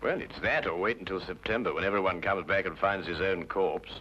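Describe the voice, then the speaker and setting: A man's voice: deep voice, brisk, a little hiss or hum, lightly acted. An English actor playing a confident, nonchalant male detective with dry wit in an old-time radio drama. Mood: dry, wry. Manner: nonchalant, deductive, dryly witty. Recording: dull old radio recording, music behind.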